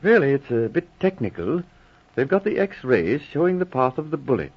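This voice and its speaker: A man's voice: medium pitch, sing-song, normal speed, husky. A man playing a polite doctor who explains police evidence carefully and hesitantly, in 1940s radio drama style. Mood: hesitant, explanatory. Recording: muffled old radio recording.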